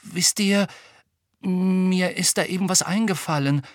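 A man's voice: sits high, measured pace, no echo, studio quality, voice pushed up. A man voicing an uneasy, hesitant teenage wizard boy in an audiobook, in a subdued, tentative manner. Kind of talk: audiobook character acting.

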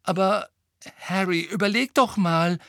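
A man's voice: high-pitched, a little slow, fairly bright tone, dry recording, studio quality, pitched above the performer's own voice. A man voicing an anxious but determined teenage witch girl in an audiobook, pleading and insistent as he reasons. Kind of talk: audiobook character acting.

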